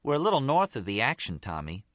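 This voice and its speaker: Man voicing a sly, brazen gangster's girlfriend, pitched up. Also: wildly swooping pitch, quick pace, fairly bright tone, no room sound, clean studio sound. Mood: playful. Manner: teasing, streetwise.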